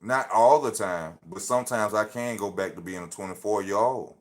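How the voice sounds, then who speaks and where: A man in his thirties talks straight into his phone on a live stream, easy and slangy. His voice sits on the low side, and he drives home each point by saying it twice. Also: normal speed. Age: 30s. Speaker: livestream host. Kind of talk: casual motivational live talk.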